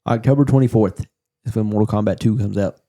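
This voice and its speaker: Mellow, dark-voiced man chatting. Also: on the low side, fairly even intonation, quick pace. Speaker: podcast panelist. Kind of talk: casual group chat about movies.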